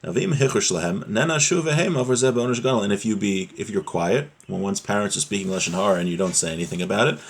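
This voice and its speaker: A man's voice: lowish voice, fast talker, vocal fry, hardly any pauses. A man giving a religious lesson, reading Hebrew text aloud and explaining it phrase by phrase in English. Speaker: religious teacher.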